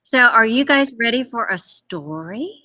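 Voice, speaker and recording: A woman's voice: fairly high pitch, expressive, unhurried, pushed and bright. A woman librarian hosting a read-aloud for young children, encouraging them and exclaiming as she goes.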